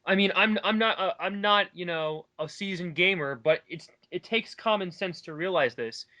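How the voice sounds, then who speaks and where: A man's voice: high voice, normal speed, bright, forceful tone. A man in a casual, opinionated discussion, talking at length in an emphatic, argumentative way. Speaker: online commentary co-host.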